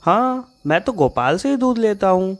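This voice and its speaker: A man's voice: high-pitched, big pitch swings, normal speed, pitched above the performer's own voice. A man acting a mild, trusting cartoon neighbour. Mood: disbelieving. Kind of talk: Hindi moral-story cartoon dialogue. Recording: music behind the voice.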